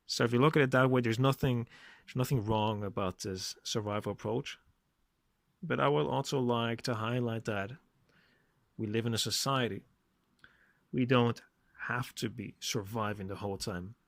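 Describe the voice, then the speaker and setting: A man hosting a reflective solo podcast, speaking in measured phrases with emphatic stresses. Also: lowish voice, a bit bright, no room sound. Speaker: podcast host. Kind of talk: reflective solo podcast monologue with poem reading.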